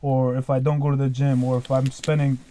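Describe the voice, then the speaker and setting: A man's voice: mid-range voice, level tone, fairly quick, mellow. A 20-year-old man talking casually as a podcast guest, rambling and leaning heavily on fillers like "like" and "dude". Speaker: podcast guest, business owner.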